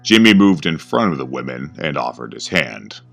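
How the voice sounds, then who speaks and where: A man narrating a scripted horror story in a slow, grave, ominous manner. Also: rumbling low, very animated, gravelly, a bit bright, faint music behind.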